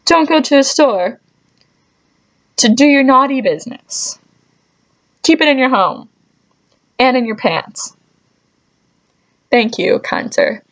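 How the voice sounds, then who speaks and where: A woman's voice: high-pitched, lively intonation, slow, long pauses, poor audio. A woman vlogger telling stories casually, with a joking, mock-scolding manner and dry asides. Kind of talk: casual comedic storytelling to camera.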